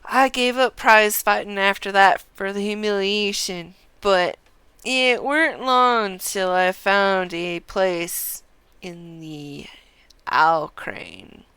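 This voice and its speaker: Woman playing a sly, taunting ex-prizefighter, slow and emphatic. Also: medium pitch, sharp tone, clear, resonant tone, no room sound. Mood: boastful. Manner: rustic dialect, mocking, drawn-out emphasis. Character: taunting male ex-prizefighter, sly, boastful old rival. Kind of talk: reading game dialogue aloud in character.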